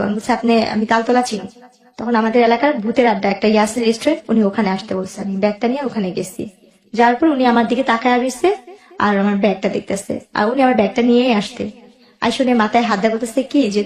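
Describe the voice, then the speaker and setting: Woman, Bangladeshi accent, personal storytelling, rapid delivery, quoted dialogue, roomy echo. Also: on the high side, darkish tone. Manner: recounts dialogue by quoting both sides. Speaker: guest telling own life story. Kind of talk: emotional personal storytelling in conversation.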